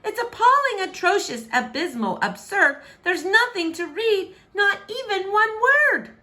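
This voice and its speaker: A woman's voice: very high-pitched, sing-song, unhurried, sharp tone, pitched above the performer's own voice, exaggerated voice. A woman voicing a stubborn, earnest young princess in a children's verse storybook, with theatrical, exclamatory delivery. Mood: dismayed.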